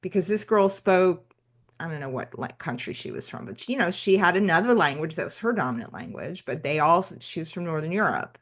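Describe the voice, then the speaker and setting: A woman from the United States, a podcast guest talking in a rambling, animated way about life abroad. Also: lowish voice, brisk. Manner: talkative, rambling, animated asides. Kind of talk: conversational podcast interview.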